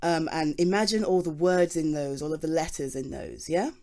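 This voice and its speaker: A woman's voice: low-pitched, normal speed, mellow, close to an everyday voice. A British woman playing a flustered, chatty geology nerd in an ASMR roleplay, explaining things in a rambling, hesitant, eager and informal way. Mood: flustered, thoughtful.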